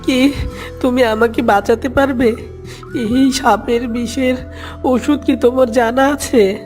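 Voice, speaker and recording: A performer voicing a gentle, frightened and grateful little fawn in a cartoon animal fable, pleading and earnest. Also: medium pitch, fairly even intonation, takes it slowly, soft background music, close to an everyday voice. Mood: pleading, afraid.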